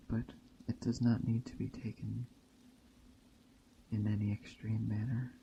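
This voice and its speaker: A man speaking softly and unhurriedly with long pauses, in an ASMR roleplay as a gentle, hospitable witch. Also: fairly low pitch, creaky, noisy background. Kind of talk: soft-spoken ASMR roleplay narration. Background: rain and forest ambience.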